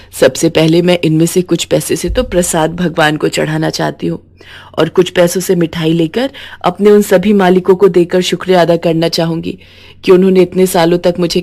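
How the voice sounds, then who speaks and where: A woman's voice: on the low side, little pitch movement, moderate pace, vocal fry, a little mellow. A woman voicing a humble, grateful working mother, earnest and effusive, in a storybook tale. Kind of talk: storybook character voice.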